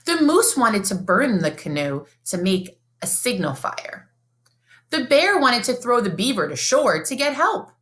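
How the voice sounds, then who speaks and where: A woman reading a children's picture book aloud in an expressive, sing-song storybook manner, like a teacher. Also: a little high, measured pace.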